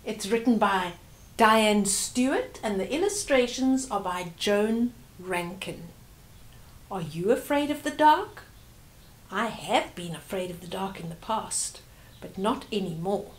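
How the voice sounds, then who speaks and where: A woman's voice: medium pitch, lively intonation, slow pace. An older woman hosting a story time, reading aloud expressively and explaining things to young children.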